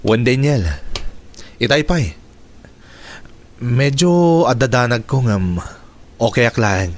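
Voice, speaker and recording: Guy playing nervous young boyfriend in a radio drama, mid pitch, dodgy and hesitant, bit of hiss. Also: takes it slowly, close to an everyday voice. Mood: evasive. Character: nervous, evasive young man courting his sweetheart. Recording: faintly noisy radio drama track.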